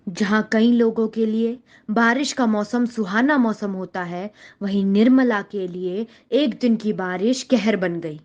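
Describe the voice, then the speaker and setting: A woman's voice: mid-range voice, a little slow, few pauses, a little mellow. A woman narrating a scripted children's story in a slightly dull, lo-fi recording.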